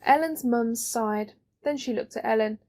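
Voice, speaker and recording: A woman's voice: fairly high pitch, unhurried, darkish tone. A woman reading a children's story as an audiobook narrator.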